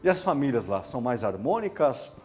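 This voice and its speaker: A man's voice: medium pitch, very animated, fairly quick, darkish tone, breathy edge. A man presenting a live variety show in a chatty, colloquial manner. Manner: chatty, colloquial, checks in with listeners. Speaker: television show host. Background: background music.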